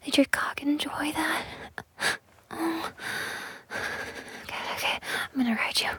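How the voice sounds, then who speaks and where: A woman in a whispered intimate audio roleplay, talking in a breathy whisper with a slow, coaxing, possessive manner and many soft repetitions. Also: high voice, steady tone.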